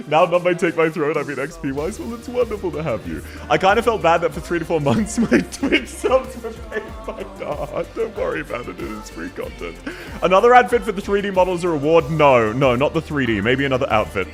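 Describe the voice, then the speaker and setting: A man's voice: on the high side, very animated, a little fast, soft background music. An Australian man streaming live in casual chat, laughing often and thanking viewers one by one. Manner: giggly, thanks viewers warmly.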